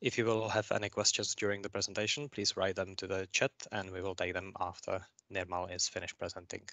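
A man's voice: low-pitched, flat delivery, brisk, a bit bright, no room sound. A man hosting a webinar, giving a polite, orderly introduction. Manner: polite and orderly, brief fillers. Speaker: webinar host. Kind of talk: formal introduction.

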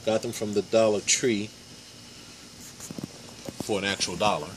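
A man in his forties giving a casual step-by-step how-to demonstration in a backyard, with relaxed fillers. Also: on the low side, a little slow, background noise. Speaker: hobby boatbuilder. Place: backyard outdoors. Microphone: camera mic, handheld camera nearby.

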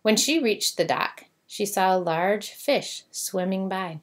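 A woman's voice: medium pitch, takes it slowly. A woman narrating a children's storybook, reading aloud expressively.

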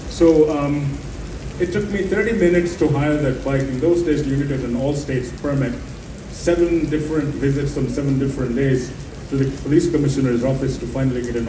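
A middle-aged or older man telling an anecdote as a panelist, repeating phrases for emphasis. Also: on the high side. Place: festival stage, before an audience. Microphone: handheld microphone through PA, filmed from audience. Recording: echoey distant stage PA with noisy ambience.

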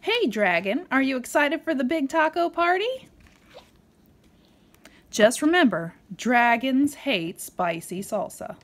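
Female storybook reader, animated and playful, swooping intonation, speaking to a small child. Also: high-pitched, slow. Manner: playful, expressive, with dramatic emphasis. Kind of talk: animated read-aloud to a young child.

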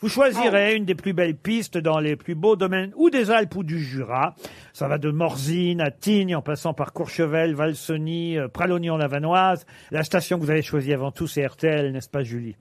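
A man's voice: fairly high pitch, normal speed, no echo, studio quality. Mock-solemn male radio host, raised voice. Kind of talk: hosting a radio comedy panel. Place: radio studio.

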